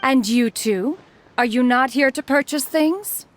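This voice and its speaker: A woman's voice: high voice, a little slow, soft background music. An actress voicing a proud, aloof immortal woman in a video game, speaking in formal, archaic and lofty phrases. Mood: composed, lofty. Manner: formal archaic diction, calls herself "one", lofty. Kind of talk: scripted game character dialogue.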